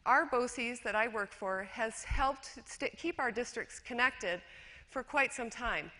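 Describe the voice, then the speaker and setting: Bright-voiced woman in reverberant hall. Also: a little high, takes it slowly. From rural western New York, USA. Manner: chatty, self-deprecating, with fillers and restarts. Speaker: conference speaker, educator. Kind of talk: conference talk with slides. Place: lecture hall.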